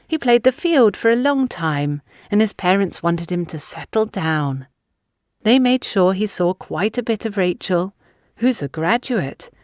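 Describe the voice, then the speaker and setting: A woman's voice: mid-range voice, darkish tone, no echo, clean studio sound, lightly acted. A woman voicing an insistent, gossipy older woman in an audiobook, emphatic and confiding. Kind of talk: audiobook character dialogue.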